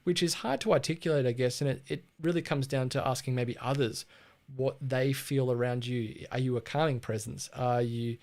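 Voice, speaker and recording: A man's voice: medium pitch, fairly even intonation, normal speed, darkish tone. A man with an Australian accent hosting a casual livestream on branding, chatty and laid-back, with frequent ums and restarts. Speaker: livestream host teaching branding.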